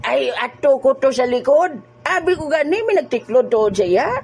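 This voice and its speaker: A woman's voice: high-pitched, animated, normal speed, creaky, a little mellow, slightly rough, exaggerated voice. An actress playing a lonely aging woman who laughs often and teases her friend in a comic audio drama. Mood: teasing. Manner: laughs a lot, teasing and wistful. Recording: background music.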